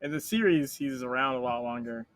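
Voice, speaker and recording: A man co-hosting a casual chat, telling stories in a rambling, animated way and swearing freely. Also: mid-range voice, brisk. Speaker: podcast co-host.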